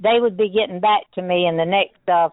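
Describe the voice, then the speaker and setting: A woman's voice: lowish voice, fairly quick, dry recording. A plain-spoken, insistent woman making a customer complaint in a phone conversation. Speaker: customer calling about a refund. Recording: tinny, narrow telephone line.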